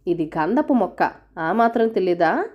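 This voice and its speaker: A woman's voice: medium pitch, big pitch swings, moderate pace, highs cut off. A woman narrator voicing an earnest, insistent young king in a storybook folk tale. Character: young devout king, lovestruck and self-assured.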